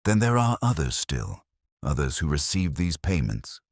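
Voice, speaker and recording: A man plays a detective's loyal doctor companion in an audiobook mystery. He is earnest and questioning, in a very deep voice with animated rises. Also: normal speed, dry recording, clean studio sound, heavily acted. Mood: astonished, concerned.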